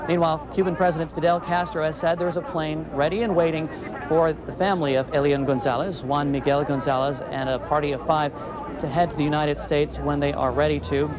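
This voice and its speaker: A man's voice: a little high, little pitch movement, brisk. A man working as a television field reporter, delivering a live news report from outdoors. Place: outdoors on a city street. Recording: outdoor live shot with crowd noise. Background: crowd and street noise.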